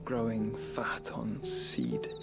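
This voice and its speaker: An actor playing a gentle, solemn young nobleman, weary and wounded, speaking formally in a soft, breathy voice near a whisper. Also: fairly low pitch, sing-song, loud music behind the voice, exaggerated voice. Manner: measured, formal, reflective speech. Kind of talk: game cutscene acting.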